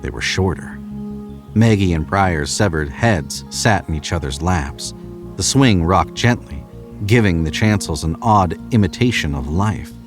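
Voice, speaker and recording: A man's voice: low voice, expressive, measured pace, over music. A man narrating scripted horror fiction in a dramatic storytelling style.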